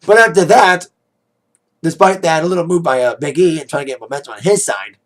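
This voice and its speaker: A man with a raspy, worn-out voice giving a casual spoken review, rambling with plenty of "like" and "uh". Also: fairly high pitch, fairly quick, fairly bright tone. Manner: rambling, heavy on "like", "uh" and "you know". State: hoarse, voice fading after a DJ gig. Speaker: wrestling pay-per-view reviewer.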